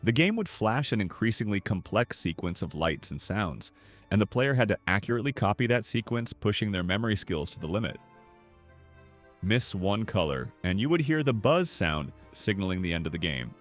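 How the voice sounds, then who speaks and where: A man's voice: lowish voice, sing-song, normal speed, soft background music. A man reading scripted narration in an earnest storytelling manner, as if addressing the listener directly. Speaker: narrator of a nostalgia video.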